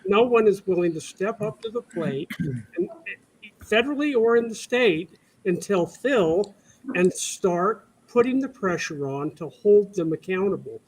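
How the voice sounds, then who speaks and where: Male panelist, high-pitched, earnest and blunt, unscripted political talk. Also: expressive, a little slow, a little hiss or hum, poor audio.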